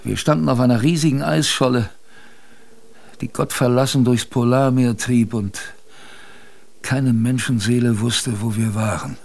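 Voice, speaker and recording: An actor playing a plain-spoken seaman who recalls a polar shipwreck in a colloquial, halting way, as in a radio drama. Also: mid-range voice, fairly even intonation, gravelly, darkish tone. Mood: sombre, reflective. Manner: colloquial, halting, musing storyteller. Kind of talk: radio drama first-person narration.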